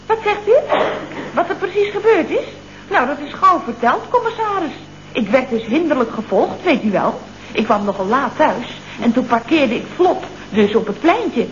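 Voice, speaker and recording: High-pitched, feisty chatterbox secretary. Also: female voice, very animated, normal speed. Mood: breathless, gossipy. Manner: gushing, chatty, full of colloquial tag questions. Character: feisty, chatty, indignant single female office secretary. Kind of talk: 1970s Dutch comedy radio play acting. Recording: muffled, noisy vintage radio recording.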